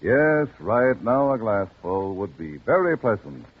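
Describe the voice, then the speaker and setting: A man's voice: lowish voice, very animated, unhurried, slightly rough. A man announcing a scripted sponsor message in 1940s radio advertising style. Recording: muffled old radio recording.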